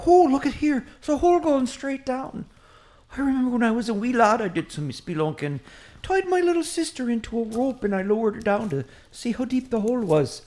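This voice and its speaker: A woman voicing a chatty, excitable Irish priest, a short man who rambles eagerly in an Irish accent in a comic audio drama. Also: on the high side, brisk, natural voice. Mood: delighted. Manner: rambling, eager, easily distracted.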